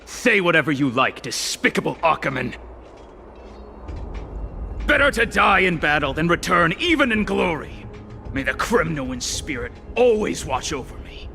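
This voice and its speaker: Proud, sharp-voiced vengeful warrior. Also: male voice, fairly high pitch, very animated, moderate pace, vocal fry, exaggerated voice. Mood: defiant. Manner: defiant, solemn, declamatory. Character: proud, vengeful Cremnoan warrior ready to die in battle. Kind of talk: video game voice acting. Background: game music.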